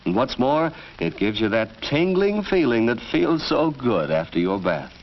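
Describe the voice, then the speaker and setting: American man, lively 1940s educational film narration. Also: medium pitch, a little slow. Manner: instructive, storytelling delivery. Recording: dull vintage film soundtrack, faint noise.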